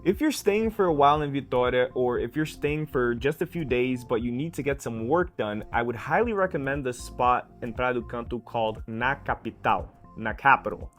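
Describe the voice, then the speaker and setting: A man's voice: mid-range voice, moderate pace, hardly any pauses, fairly bright tone, soft background music. A man hosting a travel vlog, narrating city tips in an upbeat, recommending way and chatting with a guest. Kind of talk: travel guide narration and casual interview.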